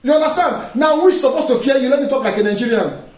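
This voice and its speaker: High-voiced preaching man, echoey hall. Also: quick pace. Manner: emphatic preaching with call-and-response questions. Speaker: pastor. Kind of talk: sermon. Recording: echoey room, distant mic, faint noise.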